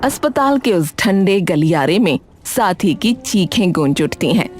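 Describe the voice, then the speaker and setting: A woman's voice: on the low side, very animated, measured pace, a little mellow, a little hiss or hum. A woman narrating a scripted cartoon story.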